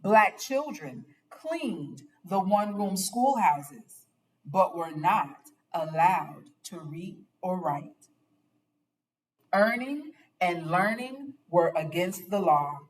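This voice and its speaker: A woman reading a children's picture book aloud with expressive, dramatic and deliberate phrasing. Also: lowish voice, far from the mic, low-quality recording.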